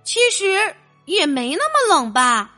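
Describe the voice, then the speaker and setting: Cheerful leader elephant for a picture book female performer, eager, high-pitched, very lively. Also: slow, faint music behind, heavily acted. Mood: encouraging. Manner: chirpy, encouraging, eager. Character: cheerful, playful young elephant leading the herd.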